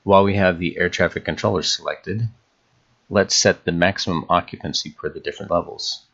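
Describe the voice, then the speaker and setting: A man narrating an instructional screencast as a tutorial host, in a measured, explanatory way. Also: sits low, steady tone, fairly bright tone, highs cut off. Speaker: game development tutorial host.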